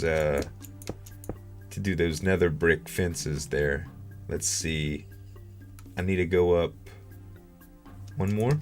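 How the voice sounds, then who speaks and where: A man giving casual gaming commentary as a video host, rambling and thinking aloud. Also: sits low.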